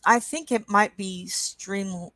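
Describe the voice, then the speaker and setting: A woman with a croaky voice hosting a coloring livestream, chatting casually and reading chat aloud at an easy pace. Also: medium pitch, no room sound. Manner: slow, unhurried, reads chat aloud with soft asides. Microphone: webcam.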